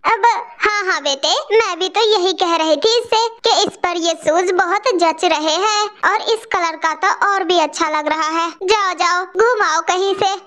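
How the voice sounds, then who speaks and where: A woman's voice: extremely high pitch, measured pace, few pauses, fairly bright tone. A woman voicing a petty, two-faced older mother-in-law bird in a Hindi cartoon story, nagging and bossy. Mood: falsely sweet.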